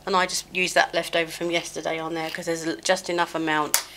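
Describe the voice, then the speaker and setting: A slightly low-pitched woman in middle age chats as she does her makeup at home, scattered and self-mocking, full of ums and restarts. She sounds a little chesty from a cold. A faint hum runs under the recording. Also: flat delivery, quick pace, pushed and bright. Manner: chatty, scattered, self-mocking, many fillers. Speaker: beauty vlogger. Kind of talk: rambling get-ready-with-me chat. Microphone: small mic clipped near her, sometimes covered.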